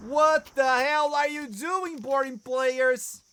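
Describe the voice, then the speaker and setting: A man from Brazil with a Brazilian Portuguese accent giving excitable live commentary on a shooter match, scolding players and chatting with viewers. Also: very high voice, takes it slowly, slight background noise. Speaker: esports streamer commentator. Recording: loud gunfire game audio behind. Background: game gunfire and clicks.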